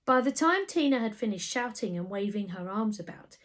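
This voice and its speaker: A woman reading a children's story aloud in an animated way, with dramatic emphasis. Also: medium pitch, fairly quick. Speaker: storybook reader. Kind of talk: expressive scripted children's story narration.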